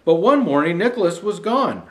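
Lively older man reading a children's storybook. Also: high-pitched, moderate pace. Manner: folksy, addressing young viewers directly. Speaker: storyteller in a Santa persona. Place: small indoor decorated studio set.

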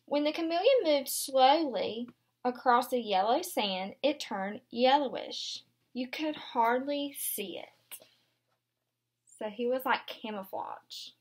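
A woman in her twenties reads a children's picture book aloud like a kindergarten teacher. Her voice is high, with big swings in intonation. She explains things simply and expressively for little listeners. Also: expressive, slow pace, husky. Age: 20s. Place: small furnished room indoors.

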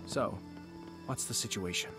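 An actor playing a calm, confident young nobleman swordsman in a video game, composed and understated. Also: lowish voice, measured pace, vocal fry. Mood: cool, composed. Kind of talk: video game character acting. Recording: game trailer with loud music behind. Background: loud trailer music.

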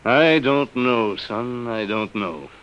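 A man's voice: medium pitch, slow, clear, resonant tone, natural voice. Guy doing a tired bitter american businessman, old 1950s radio play, medium voice, dull sound. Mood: defeated, weary. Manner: sardonic, downcast. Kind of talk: 1950s radio drama acting. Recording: dull vintage radio recording.

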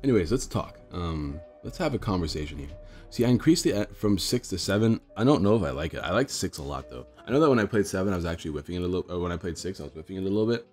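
Fast-talking stuffy-nosed young man. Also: deep voice, lively intonation, darkish tone, soft background music. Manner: rambling, explanatory, addresses viewers as guys. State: stuffy nose. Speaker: card game streamer. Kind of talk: casual deck tech commentary.

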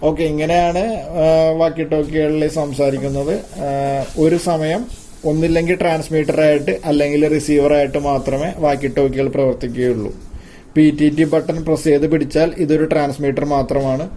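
A man giving an informal hands-on gadget demonstration, haltingly and repeating his phrases. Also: a little high, steady tone, mellow, a little hiss or hum. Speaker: tech video presenter.

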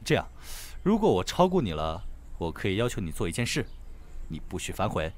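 A young man acting a cocky, teasing, hot-tempered high school boy in an audio drama, expressive and easily exasperated. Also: brisk. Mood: cocky, eager. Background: background music.

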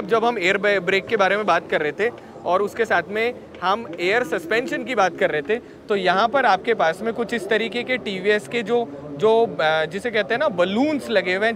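A man presenting a vehicle walkaround in a chatty way, talking to his viewers, recorded outdoors at an expo. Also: sits high. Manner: chatty, addresses viewers as friends. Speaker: vehicle reviewer.

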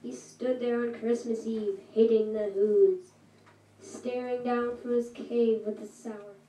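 Woman storyteller, slow rhythmic verse, higher voice, distant, echoey room. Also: dark tone, a little hiss or hum. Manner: slow, rhythmic rhyming reading. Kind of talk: reading a rhyming storybook aloud. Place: school hall with puppet show.

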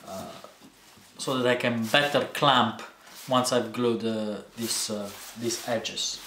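In a roomy, echoing indoor workshop with some noise behind him, a man in his forties explains a DIY job. He talks casually and haltingly, thinking aloud, picked up by a camera mic at some distance. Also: medium pitch, fairly even intonation, fairly bright tone. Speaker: DIY video maker. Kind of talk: casual hands-on workshop tutorial narration. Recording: echoey room, faint background noise.

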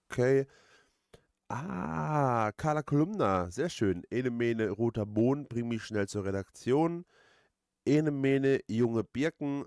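A man's voice: mid-range voice, a little mellow, no echo, studio quality. A man giving casual let's play commentary as host, rambling hesitantly and repeating his phrases.

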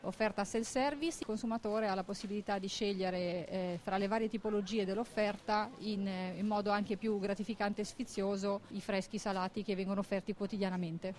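A woman's voice: medium pitch, fairly even intonation, a little mellow. Businesslike woman presenting with a handheld mic. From Italy. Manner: measured, businesslike, with frequent hesitations. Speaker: marketing director. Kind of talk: corporate presentation. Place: inside a supermarket.

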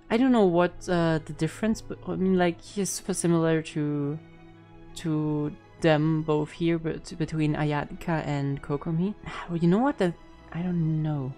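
A woman's voice: fairly low pitch, warm tone. A young woman giving casual reaction commentary as a streamer, informal and hesitant as she thinks aloud. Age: young adult. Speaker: reaction video host and streamer. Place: indoor room at home. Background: music.

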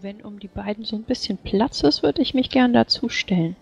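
Gravelly raspy guy player, short measured lines, muffled mic. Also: high voice, faint music behind. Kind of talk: in-character tabletop play. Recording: dull lo-fi mic.

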